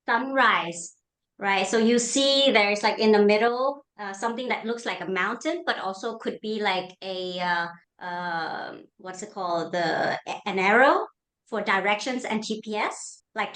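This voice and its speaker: A woman's voice: on the high side, fairly bright tone, poor audio. A woman in her thirties from Denmark, a coach talking as an interview guest over a video call, chatty and often tagging her lines with "right?". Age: 30s. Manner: chatty, tags lines with "right?" and "you know". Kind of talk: casual interview answers.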